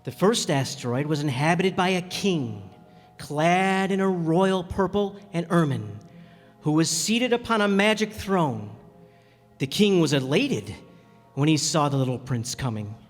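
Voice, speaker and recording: A male actor plays a reflective man telling his story in a radio drama. The voice is a little high, gentle and slightly hesitant, set in long hall reverb with music. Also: expressive, measured pace. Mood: storytelling. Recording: echoey hall with music bed.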